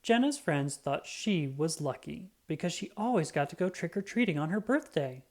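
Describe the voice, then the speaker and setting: A woman narrating a children's book in an expressive, scripted read-aloud style, acting out the dialogue. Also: lowish voice, normal speed.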